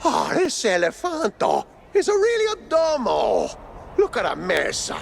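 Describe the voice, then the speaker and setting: An actor playing an excitable, exasperated cartoon circus boss with an Italian accent, theatrical and exclamatory in a video game. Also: male voice, very high-pitched, a little slow, vocal fry, slight background noise, heavily acted.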